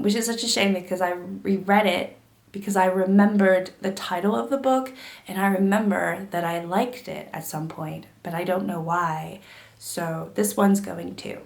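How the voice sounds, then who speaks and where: A woman book vlogger getting over a cold, her throat gravelly, chatting casually to camera with lots of fillers and restarts. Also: lowish voice, roomy sound. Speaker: book YouTuber.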